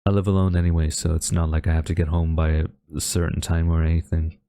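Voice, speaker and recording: A man's voice: rumbling low, flat delivery, warm tone, dry recording. A man acting a young university student in a romantic audio roleplay, a defensive, secretly smitten rival who grumbles and gets flustered. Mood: hesitant, nervous. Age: young adult. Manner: flustered, grumbling, halting denials.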